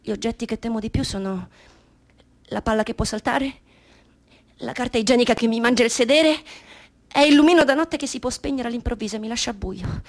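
A woman's voice: fairly high pitch, natural voice. An actress playing a troubled thirteen-year-old girl confessing her fears in a theatrical radio drama monologue.

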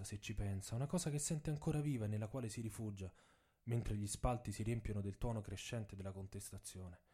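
A man reading a literary story for radio theatre in a solemn, measured, dramatic way. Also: mid-range voice, dark tone. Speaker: writer and narrator.

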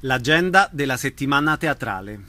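A man's voice: medium pitch, animated, moderate pace, music in the background. A man hosting a radio show, presenting from a script and introducing a guest.

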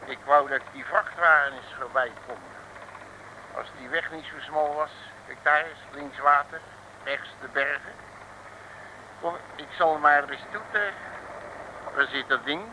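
A man's voice: on the high side, measured pace, long pauses, sharp tone. An actor playing a folksy, jovial male detective in a 1950s radio serial, talking in jocular slang. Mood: jovial bluster. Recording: noisy old radio recording.

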